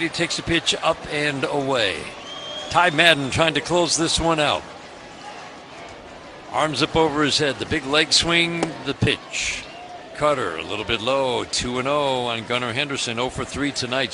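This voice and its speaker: An American man calling baseball play-by-play as a live radio announcer. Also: medium pitch. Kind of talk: live radio sports commentary. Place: stadium broadcast booth. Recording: clearly noisy ballpark broadcast.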